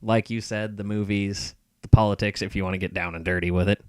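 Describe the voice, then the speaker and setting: A man's voice: fairly low pitch, fairly even intonation, a little fast, clear, resonant tone, no echo. A man talking casually as a podcast guest, explaining things conversationally. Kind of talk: casual chat.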